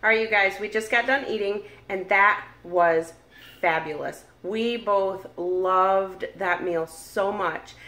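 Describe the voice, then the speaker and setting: A woman's voice: medium pitch, slow pace, bright, forceful tone. A woman in her forties chatting to viewers as she cooks and tastes a meal in her home kitchen, filmed on a camera held at arm's length. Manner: chatty, talks to viewers directly. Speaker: cooking vlogger. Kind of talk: casual cooking walkthrough. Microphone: camera mic at arm's length. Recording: echoey kitchen with sizzling.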